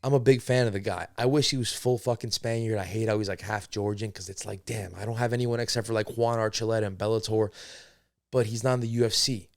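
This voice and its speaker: A man's voice: on the low side, steady tone, fast talker, no room sound. A young man who was born in Spain and raised in America, hosting a podcast in a casual, rambling and profane way. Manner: rambling, profane, admits to segues. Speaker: podcast host. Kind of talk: casual solo podcast commentary.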